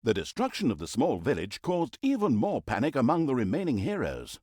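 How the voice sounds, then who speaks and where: A man's voice: medium pitch, wildly swooping pitch, brisk, darkish tone, slightly rough, no echo, studio quality. A man playing a wry, pompous storyteller narrator in a fantasy game, with grandiose, sarcastic delivery. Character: wry, pompous male storyteller narrator mocking villains and heroes. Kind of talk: theatrical comic storybook narration.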